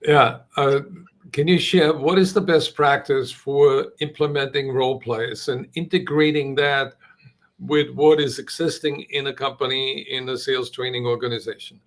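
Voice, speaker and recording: A male interview host with a voice of middling pitch. He asks his questions with pauses and reworks them as he goes. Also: fairly even intonation.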